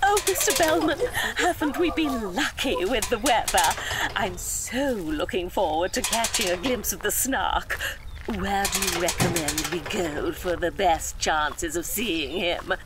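A woman's voice: mid-range voice, expressive, measured pace, very noisy, lightly acted. A woman playing an eager, chatty day tripper in an audio drama. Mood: excited, chatty. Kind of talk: audio drama character acting.

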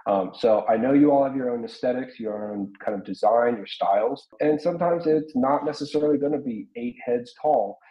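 A man's voice: medium pitch, a little fast, hardly any pauses. An American man in his thirties explaining things informally as an art instructor in an online workshop, talking through examples. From South Carolina, United States. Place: small home room, indoors. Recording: roomy, slightly dull webcam audio.

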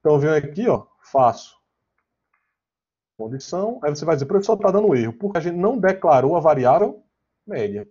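Husky Brazilian man teaching, dull audio. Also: a little high, very animated, a little fast, pauses often, warm tone. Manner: conversational, often checking with question tags. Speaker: programming teacher. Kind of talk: informal online lecture with slides and live coding. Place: online class, screen share. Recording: dull, lo-fi online class audio.

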